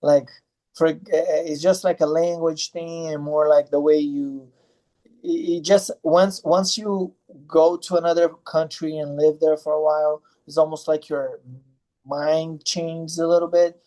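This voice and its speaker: A man's voice: on the high side, flat delivery, a little slow, mellow, rough recording. A man in his thirties from Brazil, a fighter answering interview questions in a loose, rambling way with many fillers and restarts. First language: Portuguese.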